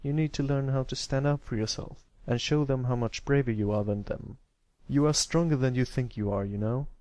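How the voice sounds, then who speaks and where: A man's voice: medium pitch, brisk, a little mellow, no echo, natural voice. A man voicing a protective older brother stallion, a royal guard who is firm and caring, in a storybook reading. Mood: gentle, encouraging.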